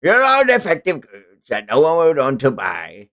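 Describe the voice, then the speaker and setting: A man's voice: on the high side, wildly swooping pitch, moderate pace, bright, forceful tone, voice pushed up, exaggerated voice. Man putting on a sneering, greedy pirate slave captain. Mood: contemptuous. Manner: sneering, archaic pirate phrasing.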